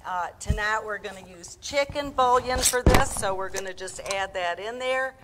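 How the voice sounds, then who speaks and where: A woman's voice: medium pitch, moderate pace, heavy background noise, lightly acted. A woman playing a folksy, chatty Midwestern housewife who hosts a comedic live cooking show, addressing folks directly. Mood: folksy, instructive.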